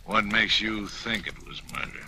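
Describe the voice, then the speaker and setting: An actor playing a cold, threatening former boxer turned killer, terse and blunt, in an old-time radio drama. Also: male voice, on the low side, flat delivery, normal speed, sharp tone. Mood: curt, defiant. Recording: noisy vintage radio recording.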